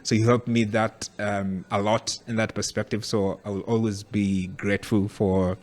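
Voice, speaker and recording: A man hosting a podcast interview, relaxed and casual, pausing often with fillers. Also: lowish voice. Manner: relaxed, frequent filler pauses. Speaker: podcast host.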